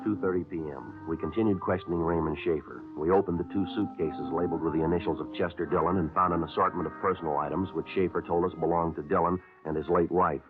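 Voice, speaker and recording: An actor playing a terse police sergeant who narrates the case in a clipped, flat, matter-of-fact way, in a 1950s radio drama. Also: male voice, sits low, fast talker, few pauses, over music, lightly acted. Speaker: detective narrator. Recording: tinny lo-fi 1950s radio broadcast.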